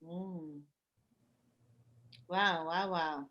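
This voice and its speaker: A woman's voice: lowish voice, steady tone, extremely slow, bright, forceful tone. A woman in her forties giving short, affirming responses as a co-host, like church call-and-response. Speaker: co-host responding to the main speaker. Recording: dull lo-fi livestream audio.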